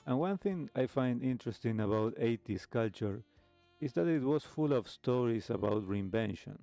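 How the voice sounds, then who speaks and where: A man hosting a talk show, giving reflective commentary in measured, halting phrases with pauses between short clauses. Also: medium pitch, lively intonation, gravelly, mellow, dry recording. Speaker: host of a live retro music talk show. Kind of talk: reflective commentary, reacting to a video. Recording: music playing behind the voice. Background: music with guitar.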